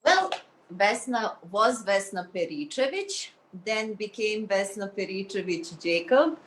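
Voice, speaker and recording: Guest, female, 40s, English, reflective and measured, average pitch. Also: expressive, a bit bright, a little hiss or hum, low-quality recording. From Bosnia. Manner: earnest, measured, many fillers. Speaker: guest, fitness teacher. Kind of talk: reflective personal storytelling.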